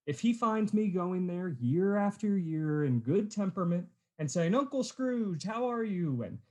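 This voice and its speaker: An actor playing a kindly, good-humored nephew in a radio play, speaking in a jovial, earnest way. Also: male voice, high-pitched, expressive, a little slow, a little mellow.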